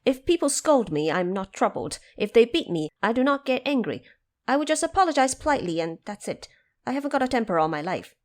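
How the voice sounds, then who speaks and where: A woman playing an eager, naive male monkey king in an audio drama, earnest and excitable. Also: a little high, a little fast, voice pushed up.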